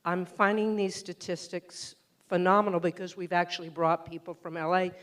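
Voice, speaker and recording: A woman's voice: lowish voice, level tone, normal speed. A woman in the audience speaking effusively at a public Q&A in a synagogue hall. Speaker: audience member asking a question. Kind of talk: public Q&A remarks.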